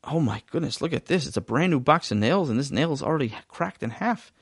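A man voicing a calm, weary father who sighs and stays patient. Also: on the high side, fairly quick, breathy edge, no echo, clean studio sound.

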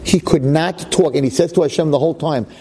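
A man's voice: a little high, fairly quick, mellow, breathy edge. A man giving a Torah lecture to a class, emphatic and dramatic, repeating phrases and asking rhetorical questions. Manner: public speaker.

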